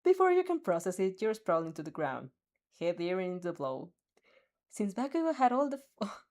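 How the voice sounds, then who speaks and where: A young woman reading a second-person fanfic aloud, expressive and giggling at her own slips. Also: medium pitch. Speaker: fanfic reader.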